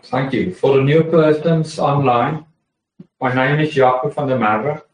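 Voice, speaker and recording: A man from South Africa with a South African accent, warmly welcoming people to an online Bible study he presents. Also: medium pitch, a little slow. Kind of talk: casual welcome on an online call. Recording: roomy sound, distant from the mic.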